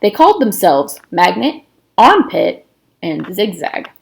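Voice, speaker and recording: A woman reads a children's novel aloud as narrator. Her voice sits at a middle pitch, and she gives the story an expressive, emphatic delivery. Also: slow, gravelly. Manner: acts out dialogue with emphasis.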